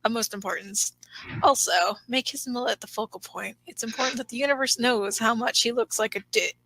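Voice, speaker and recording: Woman playing a cocky, bright-voiced dramatic young man. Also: on the high side, a little fast, slight background noise, rough recording. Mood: showy, flustered. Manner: theatrical, showy, self-important. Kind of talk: amateur fan fiction dramatic reading.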